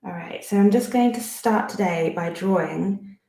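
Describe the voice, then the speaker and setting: A woman's voice: medium pitch, normal speed, creaky, mellow, roomy sound. A woman in her thirties with a Bristolian accent, chatting through a friendly step-by-step drawing lesson at her home desk, thinking aloud and poking fun at herself as she works. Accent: Bristolian English. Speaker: art teacher. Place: home studio desk, indoors.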